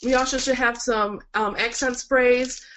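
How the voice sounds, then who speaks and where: A woman's voice: on the high side, fairly even intonation, measured pace, fairly bright tone, slight background noise. Nasal stuffed-up woman teaching. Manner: chatty, encouraging, frequent ums. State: stuffed up with a cold. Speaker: florist instructor. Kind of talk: live webinar demonstration. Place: work table indoors. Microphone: camera mic.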